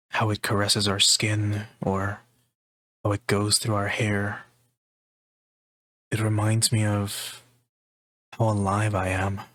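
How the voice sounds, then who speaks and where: ASMR roleplay, male: actor as affectionate comforting boyfriend, soft-spoken, lowish pitch, very unhurried, intimate delivery. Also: no room sound. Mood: serene, soothing. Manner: tender, unhurried, intimate, with pauses. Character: gentle, affectionate, teasing male partner offering comfort.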